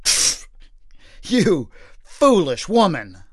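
A man voicing a pompous, self-righteous man who prays loudly and scoffs at his wife. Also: sits high, expressive, slow pace, smooth, no echo, studio quality, exaggerated voice. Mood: scornful.

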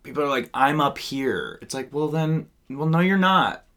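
A young adult man co-hosting a podcast, talking loudly in joking, camp banter. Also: fairly high pitch, expressive, normal speed. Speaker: podcast co-host. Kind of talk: casual chat.

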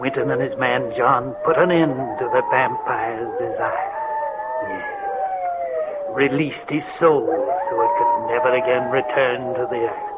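Eerie gloating hermit host, theatrical horror radio, very slow delivery, tinny thirties recording. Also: male voice, medium pitch, wildly swooping pitch, very noisy. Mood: sinister, gloating. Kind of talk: 1930s radio horror narration.

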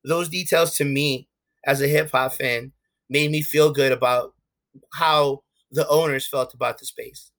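Emphatic New York man with bright tone. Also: a little high, steady tone, unhurried. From USA. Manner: frequent restarts and fillers, candid and emphatic. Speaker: guest. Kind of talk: casual interview talk.

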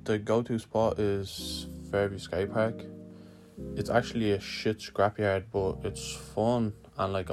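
A young man from Lithuania answers questions in a relaxed, slangy way and swears now and then. His voice sits low with a gravelly edge and barely rises or falls. Faint music plays behind him. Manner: laid-back, swearing, slangy. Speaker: guest skater answering a questionnaire. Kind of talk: casual spoken answers.

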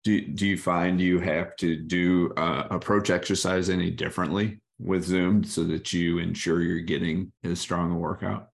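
Low, gravelly man asking questions. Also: level tone, moderate pace, hardly any pauses. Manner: friendly, hesitant restarts. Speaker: exercise coach asking community questions. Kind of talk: interview questions.

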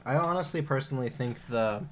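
Blunt woman with a very low voice. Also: fairly quick, slight background noise. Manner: blunt, brief interjections. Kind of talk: casual chat.

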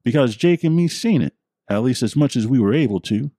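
A man's voice: mid-range voice, expressive, a little fast, mellow, no echo, clean studio sound, voice pushed up. A man voicing a crude, gossipy old country man who cackles over his stories. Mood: knowing.

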